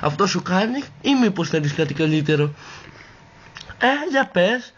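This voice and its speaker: A man's voice: high voice, lively intonation, slightly rough. A man voicing a sassy, vain, vulgar woman in an amateur comic parody dub, with campy, exclaiming delivery. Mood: mocking, sassy. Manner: campy, swooping, crude, exclaiming. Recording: muffled, noisy home recording.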